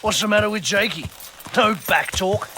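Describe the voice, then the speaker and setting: An actor playing a crude, joking mercenary sidekick in a video game, with a jeering manner. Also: male voice, high-pitched, moderate pace, pushed and bright, husky, heavily acted. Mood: teasing. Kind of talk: video game cutscene acting.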